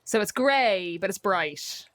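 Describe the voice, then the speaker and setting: A woman's voice: medium pitch, expressive, takes it slowly. A woman with an Irish accent chatting casually, thinking aloud in a rambling way. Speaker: player and co-host on a show. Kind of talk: casual chat.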